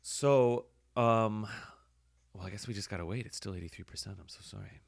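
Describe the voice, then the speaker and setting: A man with a hoarse voice, casually talking through his editing work as a podcast host, thinking aloud with fillers. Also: on the low side, normal speed, no echo. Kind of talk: casual screen-recording commentary.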